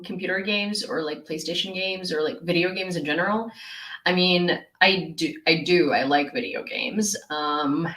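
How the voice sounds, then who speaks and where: Chatty young woman, slow and giggly. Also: medium pitch, a bit bright, echoey room. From Ukraine. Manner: chatty, giggly, lots of fillers and restarts. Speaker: streamer reading live chat. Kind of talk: casual live chat.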